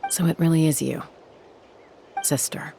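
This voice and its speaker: A woman's voice: sits low, steady tone, measured pace, vocal fry, mellow, slight background noise. A woman playing a young, tough but guarded game heroine, speaking in a matter-of-fact, reflective way. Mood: solemn. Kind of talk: scripted video game voice acting.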